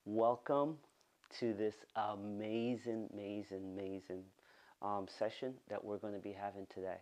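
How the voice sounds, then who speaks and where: A man in his thirties, a pastor delivering an animated online sermon from a studio, repeating words for emphasis. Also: on the low side, a little slow. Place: studio with green-screen backdrop.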